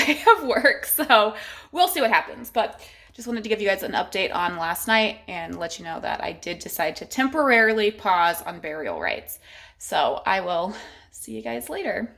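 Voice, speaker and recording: A young woman chatting casually in a reading vlog, rambling with many fillers and sometimes dropping to a hush. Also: mid-range voice, lively intonation, normal speed, creaky. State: congested. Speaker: book vlogger.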